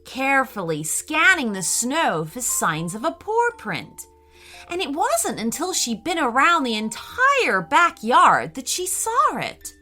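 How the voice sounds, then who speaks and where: Children's story narrator, woman: higher-pitched, bright, expressive, careful dramatic delivery.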